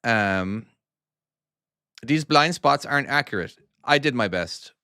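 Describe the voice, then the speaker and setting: A man's voice: medium pitch, expressive, slow, pushed and bright, clean tone, no room sound, clean studio sound. An Irish man who makes trucking games, chatting casually and self-deprecatingly on a live stream while playing and answering chat. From Ireland. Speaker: game developer streaming his own game.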